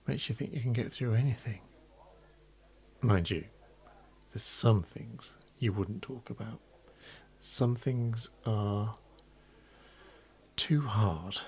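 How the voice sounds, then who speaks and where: Guy playing a drunk bloke pouring his heart out, creaky voice, really slow, halting and full of regret. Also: medium pitch, pitched above the performer's own voice. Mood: rueful, fond. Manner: halting, confessional, with whispered breaks. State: tipsy from red wine, acted. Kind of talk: dramatic monologue.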